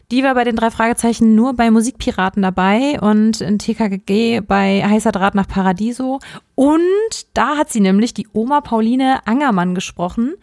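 A woman co-hosts a podcast in a chatty, explanatory manner. Her pitch sits in the middle range, and the recording is close and dry, with hardly any room sound. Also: no echo. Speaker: podcast co-host. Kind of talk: casual chat, recapping an audio drama.

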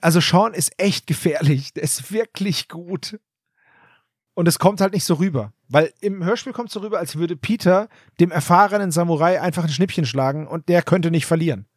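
A man's voice: fairly high pitch, moderate pace, breathy edge, no echo, studio quality. A man co-hosting a podcast, casually discussing an audio drama in an emphatic, opinionated, colloquial way. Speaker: podcast co-host.

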